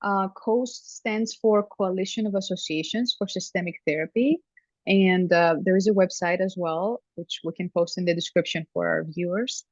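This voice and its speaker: A woman from Greece speaks in an easy, chatty way, often pausing on "um" and laughing lightly. Her voice is dry and close, but the sound is a little dull and lo-fi, like a video call. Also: fairly low pitch, dry recording. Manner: warm, chatty, many ums and soft laughs. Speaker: family therapy professor, guest. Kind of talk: conversational interview.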